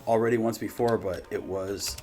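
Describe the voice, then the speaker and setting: A man hosting a reaction channel in casual, slangy, excitable chat with some swearing. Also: on the low side, moderate pace. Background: background music.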